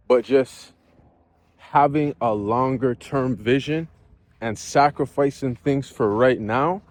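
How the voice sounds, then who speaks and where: A man's voice: medium pitch, darkish tone. A man in his thirties talking earnestly and casually about life goals, often asking "you know?" as he reflects. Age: 30s. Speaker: vlogger. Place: outdoors near geese.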